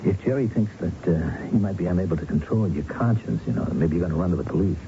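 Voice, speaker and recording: Low-voiced, insistent accomplice. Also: male voice, lively intonation, fast, background noise. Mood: worried, urging. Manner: insistent, reasoning, colloquial. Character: pragmatic, worried male accomplice urging a friend to forget. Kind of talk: radio drama acting. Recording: dull vintage radio recording.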